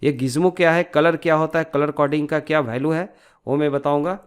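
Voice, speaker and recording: A man with a fairly high-pitched voice narrates an informal screen tutorial. He explains each step as an instructor would, often adding small tag questions to check that the learner follows. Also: steady tone, a little fast, clear, resonant tone.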